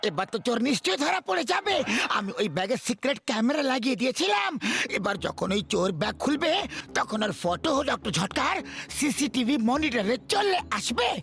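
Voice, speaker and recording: A man voicing a clever, scheming cartoon sidekick who explains things briskly and gets exasperated. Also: very high voice, sing-song, creaky, fairly bright tone, heavily acted. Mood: confident. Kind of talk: comic cartoon dub acting. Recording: music bed behind the voice.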